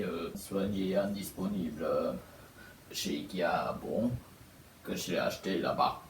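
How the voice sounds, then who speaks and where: Stuffy-nosed man, echoey and distant. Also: on the low side. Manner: halting, many fillers and restarts. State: congested with a cold. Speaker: vlogger. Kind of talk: casual home video tour. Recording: echoey room, far from mic, steady noise.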